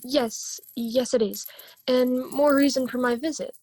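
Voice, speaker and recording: A woman's voice: high-pitched, steady tone, a little slow, darkish tone. A woman playing a polite, earnest charity collector in an amateur radio play, formal and courteous, recorded over a home video call.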